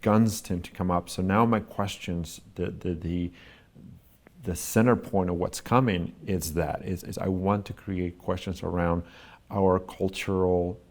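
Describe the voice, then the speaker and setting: Gravelly deep-voiced man, reflective. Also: fairly even intonation, a little slow. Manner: thoughtful, searching for words. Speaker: artist being interviewed. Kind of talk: reflective interview answers.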